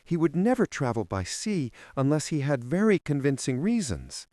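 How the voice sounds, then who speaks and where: A man's voice: fairly high pitch, huge pitch swings, dark tone, no room sound, clean studio sound, voice pushed up. A man voicing a nervous, guilt-ridden woman in her twenties in a mystery story, speaking hesitantly. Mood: uneasy suspicion. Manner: hesitant, halting.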